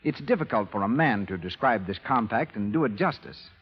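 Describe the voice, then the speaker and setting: 1930s American male announcer, formal ad read, slightly husky, music behind, vintage muffled sound. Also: on the low side, animated, brisk. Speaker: radio announcer. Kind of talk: 1930s radio announcing, scripted advertising. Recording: dull vintage 1930s radio broadcast recording, reverberant.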